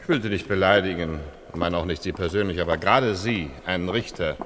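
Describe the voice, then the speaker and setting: An actor playing an imperious, condescending professor who runs a research institute, in a blustering German radio play style. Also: male voice, low-pitched, fairly quick, fairly bright tone. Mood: condescending, brisk. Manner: pompous, blustering. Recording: reverberant hall, clear noise, radio play.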